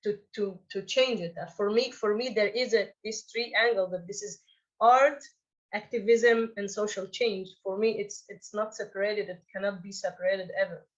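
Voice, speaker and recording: A woman speaks in a middle-pitched voice, halting and repeating small words. The audio sounds slightly dull and lo-fi. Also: fairly even intonation. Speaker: director on a festival panel. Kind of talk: Q&A answer.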